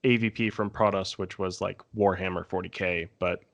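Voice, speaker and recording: A man's voice: low-pitched, fairly even intonation, moderate pace, vocal fry. A man co-hosting a podcast, talking casually in a panel discussion. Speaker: podcast co-host.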